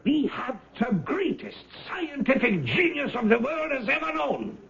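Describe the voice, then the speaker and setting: An actor playing a fanatical nationalist demagogue in a 1970s radio drama, rallying followers with declamatory, fervent oratory. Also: male voice, extremely high pitch, big pitch swings, measured pace, gravelly, fairly bright tone, full character voice. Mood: fervent, reverent. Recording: slightly dull old radio broadcast.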